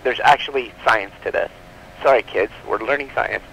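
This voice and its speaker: A man's voice: medium pitch, moderate pace, a bit bright, slight background noise, rough recording. A man chatting casually as an interview guest, explaining his business in an informal, rambling way, often rephrasing mid-sentence. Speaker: guest, comic book publisher.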